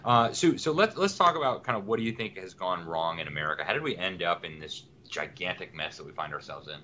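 A man hosting a talk show, greeting viewers and introducing his guest in a brisk, friendly way. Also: low voice, animated, bright, forceful tone. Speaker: host. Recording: dull lo-fi with faint noise.

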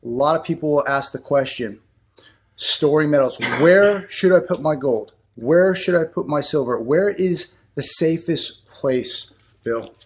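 Easygoing talk-show host, higher voice. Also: male voice, a little slow. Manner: easygoing, brief prompts to guests. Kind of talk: casual talk-show hosting.

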